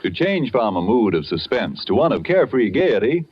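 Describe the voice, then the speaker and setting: A man's voice: lowish voice, sing-song, moderate pace, breathy edge. A man announcing a scripted 1940s radio commercial in a polished, formal sales style. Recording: dull vintage radio broadcast, music behind. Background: music.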